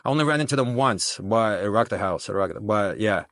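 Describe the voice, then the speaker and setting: A man's voice: lowish voice, expressive, brisk, a bit bright, dry recording, clean studio sound. A man, originally from Russia, talking casually as a guest with lots of restarts and filler phrases. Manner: rambling, many restarts and "you know what I'm saying". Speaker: guest, documentary filmmaker. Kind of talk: casual interview talk.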